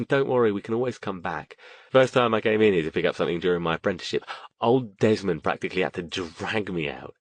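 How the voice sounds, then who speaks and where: A man's voice: low-pitched, expressive, quick pace, no room sound. Guy playing a polite wizard teacher in an audiobook, understated, dry close recording. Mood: casual. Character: polite, reserved male magician mentor.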